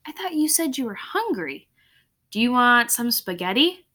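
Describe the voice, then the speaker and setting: A woman's voice: on the high side, sing-song, moderate pace, bright, forceful tone, full character voice. A woman reading the part of a patient, puzzled father who coaxes and questions his daughter in a storybook. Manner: questioning, coaxing.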